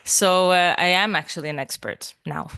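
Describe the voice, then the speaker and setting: A woman journalist in her thirties from Italy, giving a lively, chatty conference talk full of asides. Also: fairly low pitch, normal speed, clean tone.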